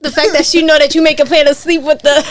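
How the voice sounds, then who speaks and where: A woman's voice: sits high, flat delivery, fast talker. A woman co-hosting a casual podcast, giving blunt, slangy opinions. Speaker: podcast co-host. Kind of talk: casual chat.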